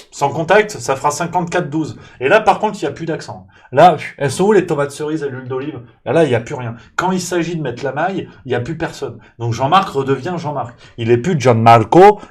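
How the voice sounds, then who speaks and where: A man's voice: mid-range voice, darkish tone. Man ranting in mock exasperation. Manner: parodies waiters with exaggerated Italian accent. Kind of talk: comic rant.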